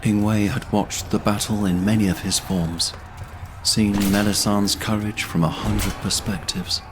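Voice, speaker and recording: A man's voice: lowish voice, steady tone, unhurried, background noise. A man narrating a scripted fantasy audio drama in a solemn storytelling manner.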